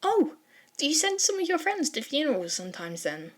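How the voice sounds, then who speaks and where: A woman voicing a polite, excitable teenage boy who rambles apologetically and gets easily flustered in an audio fan story. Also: high voice, a little fast, pitched above the performer's own voice. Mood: bright, curious.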